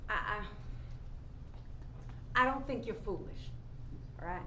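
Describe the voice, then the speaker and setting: A woman's voice: mid-range voice, expressive, takes it slowly, pushed and bright. An actress playing a jaded, skeptical working woman with a blunt, folksy, challenging manner in a staged play reading. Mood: dismissive, softening. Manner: folksy, challenging, pointed rhetorical questions. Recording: echoey room, faint background noise.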